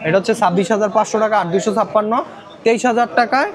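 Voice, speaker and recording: A Bangladeshi man sells phones on camera in a rapid, high voice. He lists models and prices one after another, often repeating the figures. The chatter of a busy shopping complex is clearly heard behind him. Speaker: phone shop seller presenting stock and prices. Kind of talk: sales pitch to viewers in a shop walkthrough. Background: crowd chatter.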